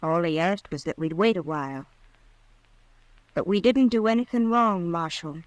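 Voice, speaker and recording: A woman's voice: fairly low pitch, normal speed, no room sound, lightly acted, rough recording. A woman playing a plainspoken frontier ranch woman with folksy Western speech, in old-time radio drama style. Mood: earnest, confessing.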